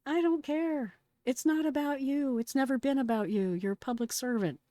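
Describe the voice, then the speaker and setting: A woman's voice: high voice, normal speed, mellow, no echo, clean studio sound. A middle-aged American woman giving casual, blunt and exclamatory reaction commentary in slangy speech. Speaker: retired emergency dispatcher reacting to a call recording.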